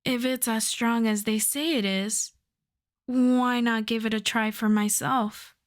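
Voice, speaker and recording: A woman playing a suave, self-assured female supervillain in a roleplay audio drama, flirting and teasing in an unhurried way. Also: fairly high pitch, fairly even intonation, lightly acted. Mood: earnest, flirtatious. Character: suave flirtatious female supervillain courting her costume maker.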